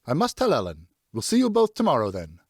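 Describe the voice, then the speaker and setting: A jovial, tipsy gentleman of old France, acted out by a male audiobook reader. The voice leaps high and blurts along with effusive, exuberant warmth. The sound is close and dry. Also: huge pitch swings, fast, creaky, husky, no echo, exaggerated voice. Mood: excited, teasing. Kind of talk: audiobook character dialogue.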